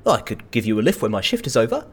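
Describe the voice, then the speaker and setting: An actor playing a chatty, over-eager night-shift petrol station attendant who rambles and pries in comedy audio drama. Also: male voice, mid-range voice, sing-song, quick pace, slightly rough. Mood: eager, nosy. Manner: chirpy, rambling, punning sales patter.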